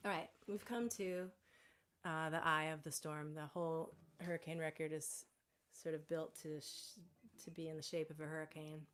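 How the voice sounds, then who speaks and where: A woman with a low, slightly scratchy voice chats loosely between songs, circling back and correcting herself as she goes. Guitar music rings clearly behind her. She speaks from her home on a live stream. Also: little pitch movement, moderate pace, fairly bright tone. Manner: rambling, self-correcting, chatty. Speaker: singer-songwriter hosting a home live stream. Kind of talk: casual chat between songs.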